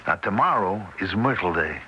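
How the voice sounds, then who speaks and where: An actor playing a plain-spoken, weary husband in a radio drama, blunt and conversational. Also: male voice, sits low, lively intonation, moderate pace, bright, forceful tone, dull audio. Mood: practical, patient. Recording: old radio recording, faint noise.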